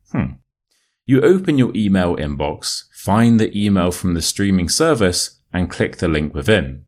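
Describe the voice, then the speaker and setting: A man with a modern RP British accent reading a short story as an English teacher, in a measured, clearly enunciated way. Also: low voice. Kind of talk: scripted story narration for listening practice.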